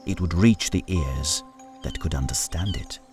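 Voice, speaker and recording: A man narrating a scripted folktale as a storyteller, in a measured, solemn manner with deliberate phrasing. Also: sits low, lively intonation. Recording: studio narration over music. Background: music.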